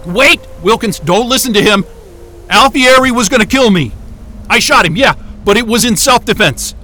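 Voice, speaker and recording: High-pitched, excitable scrappy hero. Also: male voice, normal speed, slight background noise, full character voice. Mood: desperate pleading. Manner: casual, expressive, swearing. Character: excitable, scrappy, irreverent male fugitive hero. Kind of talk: scripted audio drama acting.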